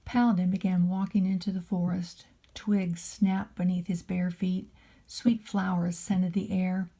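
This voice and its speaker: A woman narrating a children's storybook in a gentle, deliberate storytelling manner, as a nanny would to a small child. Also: on the low side, level tone, husky.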